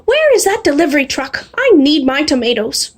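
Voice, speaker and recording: A woman's voice: high voice, very animated, normal speed. A woman voicing an emphatic, demanding male grocer in a children's storybook. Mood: angry. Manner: exclaiming, emphatic.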